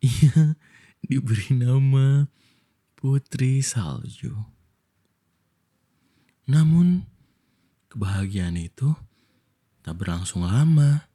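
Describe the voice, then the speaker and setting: A young Indonesian man with a soft, breathy voice, telling a bedtime story in an intimate ASMR style, playful and affectionate like a boyfriend. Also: mid-range voice, animated, extremely slow, long pauses.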